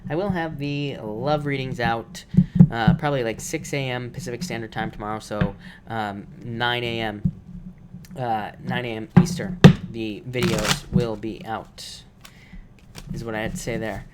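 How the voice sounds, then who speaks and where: A man with a voice made rough by allergies, reading tarot cards on a live stream in a casual, repetitive way with many fillers. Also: mid-range voice, moderate pace, heavy background noise. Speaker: tarot reader.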